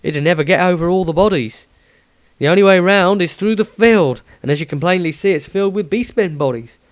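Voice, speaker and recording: A man's voice: high-pitched, a little fast, voice pushed up, close to an everyday voice. A man voicing a pathetic working-class coach driver in a fantasy storybook, grumbling deferentially in colloquial speech. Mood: pathetic, complaining.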